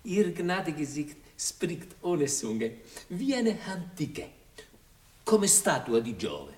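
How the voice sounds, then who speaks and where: A man's voice: a little high, very animated, slow, darkish tone, recorded from a distance. A sly, fawning Italian schemer with an Italian accent, hawking secrets in broken phrases. His voice swoops widely up and down. It sounds roomy and set back from the mic, as in an old radio play. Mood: wheedling. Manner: broken, fawning, whispering offers of service. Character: scheming Italian male intriguer, fawning spy for hire. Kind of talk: 1960s radio drama acting.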